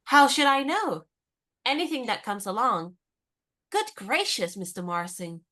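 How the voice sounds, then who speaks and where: A woman playing a plucky, independent American in her twenties in a radio comedy, speaking in a brisk, forthright, encouraging way. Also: on the high side, sing-song, pushed and bright, full character voice. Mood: brisk, urging. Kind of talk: radio play comedy dialogue.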